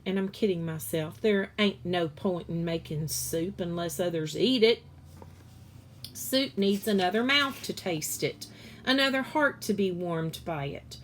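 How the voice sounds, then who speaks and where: A woman's voice: on the low side, unhurried, slight background noise, lightly acted. A woman voicing a fat, jolly female cook from a storybook, with hearty, folksy exclamations. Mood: earnest, folksy.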